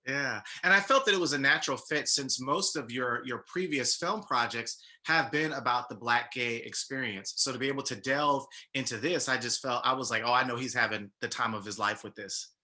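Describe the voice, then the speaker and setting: An American man hosts a conversational interview. His voice is strikingly bright and crisp-edged, sitting at a middling pitch for a man. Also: normal speed, few pauses. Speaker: interview host.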